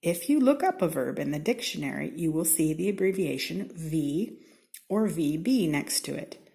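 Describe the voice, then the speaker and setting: Lively American woman reading a children's storybook. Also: sits low, gravelly. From Seattle, United States. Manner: theatrical, deliberate, with playful emphasis and whispered asides. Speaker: storybook reader. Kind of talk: expressive children's read-aloud.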